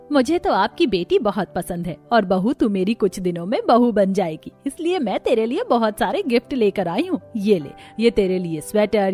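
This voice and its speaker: A woman's voice: medium pitch, dark tone, close to an everyday voice. A woman voicing a rich, generous mother-in-law in a cartoon, gracious and indulgent.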